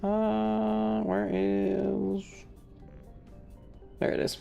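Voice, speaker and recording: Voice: medium pitch, completely flat delivery, drawn-out words, gravelly, dark tone, dull audio, soft background music. A streamer giving casual live commentary on a video game in an irreverent, sweary, rambling way.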